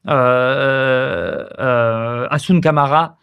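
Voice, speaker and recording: Reflective French man, halting interview talk. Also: mid-range voice, creaky, clean tone, highs cut off. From France. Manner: reflective, frequent hesitations and restarts. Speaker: podcast guest, former pro footballer. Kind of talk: casual conversational interview.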